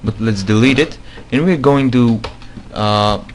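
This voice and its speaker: Slow, hesitant man with a non-native accent. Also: medium pitch, a little hiss or hum. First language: not English. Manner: hesitant, many fillers, repeats himself. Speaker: game modding tutorial maker. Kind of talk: screen-recorded tutorial.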